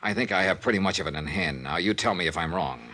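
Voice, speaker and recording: An actor playing a tough, world-weary American insurance investigator in a 1950s radio drama, clipped, hardboiled and deadpan. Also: male voice, deep voice, fast. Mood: firm, probing. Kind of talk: 1950s radio detective acting and first-person narration. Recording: vintage radio recording with music.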